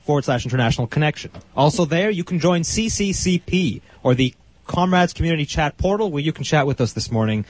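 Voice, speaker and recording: A man co-hosts a Sunday morning community radio show. His voice is a little husky. He chats and rattles off station announcements at a brisk pace. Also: fairly high pitch, lively intonation, no echo. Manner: chatty, reads announcements briskly. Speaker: radio co-host. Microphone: studio microphone.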